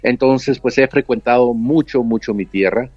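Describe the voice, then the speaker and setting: A man's voice: medium pitch, moderate pace, darkish tone, breathy edge, dry recording. Mexican-accented man, english native, earnest storyteller, dry muffled line. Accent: Mexican Spanish. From United States, raised with Mexican family. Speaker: guest, nurse and author. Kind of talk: storytelling interview answer.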